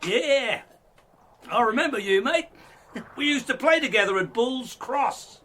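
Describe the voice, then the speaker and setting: An actor playing a boastful, jovial young man home with money from the colonies, talking in a loud, hearty, showy way. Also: very high-pitched, animated, unhurried, a little hiss or hum, heavily acted. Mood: hearty reminiscing. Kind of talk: radio drama acting.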